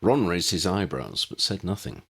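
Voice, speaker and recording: A man's voice: low-pitched, animated, moderate pace, a bit bright, slightly rough. A man reading scripted story narration as an audiobook narrator.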